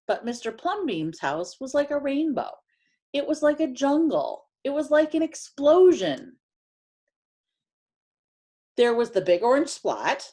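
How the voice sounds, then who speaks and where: Animated woman reading a picture book aloud. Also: fairly high pitch. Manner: animated, emphatic storytelling with drawn-out phrases. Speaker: teacher reading aloud to children.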